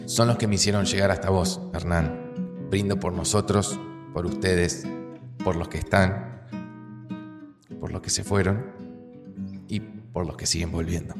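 A sentimental Argentine groom delivers a measured toast in a low voice. It rings through a long hall reverb, with clear guitar music underneath. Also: male voice, fairly even intonation. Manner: measured, pausing between phrases. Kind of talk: audio fiction acting, wedding speech. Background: music with plucked guitar.

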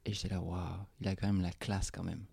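A man hosting a casual interview, soft-spoken and playfully teasing his guest. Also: sits low, little pitch movement, brisk, vocal fry, breathy edge, dry recording, clean studio sound. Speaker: host.

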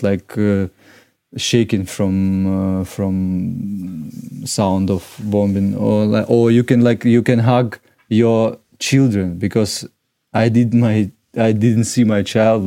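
Low, mellow Ukrainian man, halting English. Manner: halting, searching for words in non-native English. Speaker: podcast guest. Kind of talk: conversational interview answers.

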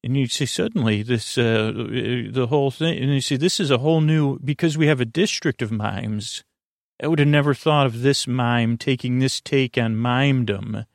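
A man hosting a bedtime podcast, talking in a meandering, halting way with stammers and tangents, meant to soothe. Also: medium pitch, vocal fry, no room sound, clean studio sound. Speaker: podcast host.

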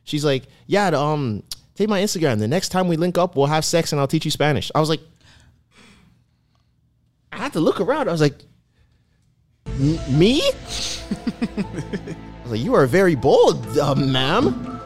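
A man's voice: measured pace, long pauses, soft background music. A young man co-hosting a podcast, chatting casually and telling stories in slang. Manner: animated storyteller, slangy, swings pitch widely. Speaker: podcast co-host. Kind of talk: casual chat.

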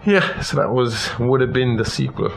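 A man's voice: medium pitch, normal speed, creaky, background noise. A man co-hosting a podcast, chatting casually about films and stories in a rambling, sweary, opinionated way. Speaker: podcast co-host. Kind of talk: casual film and story chat.

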